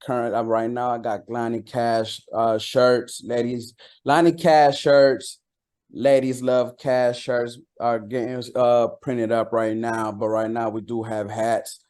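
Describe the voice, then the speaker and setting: A man speaking as a rapper being interviewed, chatting casually in a slangy, halting way. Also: medium pitch, flat delivery, darkish tone. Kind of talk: casual chat.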